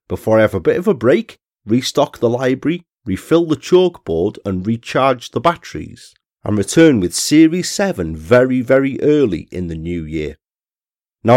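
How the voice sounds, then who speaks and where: A man hosting a true crime podcast, reading an ad and chatting to listeners, his voice a little croaky from a cold. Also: on the low side, darkish tone, dry recording, clean studio sound. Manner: chatty, friendly asides to listeners. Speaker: podcast host. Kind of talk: scripted podcast narration and ad read.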